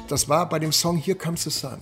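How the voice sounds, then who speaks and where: A man with a slightly high, creaky voice recalls his memories in an interview. He talks in anecdotes and corrects himself along the way, with some music behind him. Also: fairly even intonation, normal speed. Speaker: theatre dramaturg, interviewee. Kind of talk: personal reminiscence in an interview.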